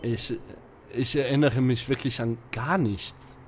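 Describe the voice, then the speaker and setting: A man's voice: mid-range voice, fairly even intonation, a little slow, slight background noise, natural voice. A man playing a confused, grumbling young detective in a parody audio drama. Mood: groggy, puzzled.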